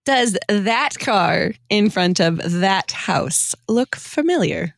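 A woman acting as a teasing figment ghost in a comedic radio play, speaking at a middle pitch with lively swings. She is wry and matter-of-fact, recorded close and dry. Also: slow pace, vocal fry, no room sound. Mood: wry, pointed.

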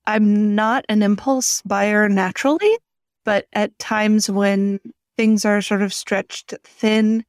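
A woman podcast co-host with a medium-pitched voice, talking casually and reflectively. The recording is dry, without any room sound. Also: fairly even intonation, slow, no echo. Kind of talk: casual chat.

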